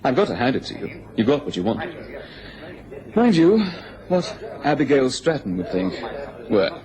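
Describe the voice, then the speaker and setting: A man's voice: mid-range voice, big pitch swings, moderate pace, a crowd in the background, close to an everyday voice, rough recording. An actor playing a taunting upper-class businessman in a radio drama, with a sardonic, goading manner. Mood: mocking. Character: sneering, taunting upper-class English businessman, a bitter rival.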